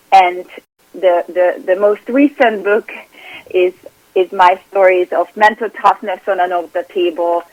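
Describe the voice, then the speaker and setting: A woman's voice: fairly low pitch, dry recording, highs cut off. A woman from Hungary with a Hungarian accent, speaking earnestly as a guest on an interview podcast and often restarting her sentences. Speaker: performance coach.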